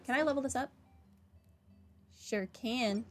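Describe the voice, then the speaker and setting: A woman's voice: sits high, a little slow, faint music behind. A woman giving casual gameplay commentary with wry asides. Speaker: gamer, playthrough commentator.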